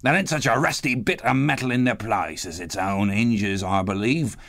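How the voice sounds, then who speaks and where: A man reading aloud, voicing a sly old male pawnbroker who talks in a slow, wheedling way. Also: on the low side, a bit bright, dry recording, studio quality.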